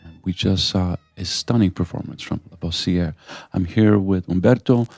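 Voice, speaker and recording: Low gravelly male interview host. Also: moderate pace, a little mellow. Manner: enthusiastic, rambling storyteller. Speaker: radio show host. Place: venue in Lisbon with music behind.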